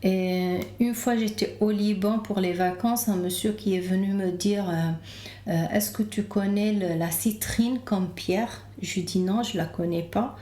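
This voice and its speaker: Woman, Lebanese accent, slow hesitant delivery, mellow tone, casual podcast guest, echoey room. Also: mid-range voice, steady tone. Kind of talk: casual interview, telling own story. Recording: roomy, echoing room.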